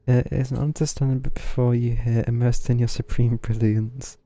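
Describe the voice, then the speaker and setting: A man streaming a game, reading dialogue aloud and adding sardonic asides in a deadpan way. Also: mid-range voice, moderate pace.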